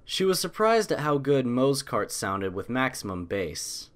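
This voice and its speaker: A man's voice: medium pitch, very animated, measured pace. A man reading a scripted story aloud as an audiobook narrator.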